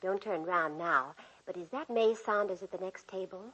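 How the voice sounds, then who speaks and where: An actress playing a gossipy bridge-club housewife in a 1940s radio advertising skit. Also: female voice, on the low side, moderate pace, fairly bright tone, husky, lo-fi sound. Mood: curious, admiring.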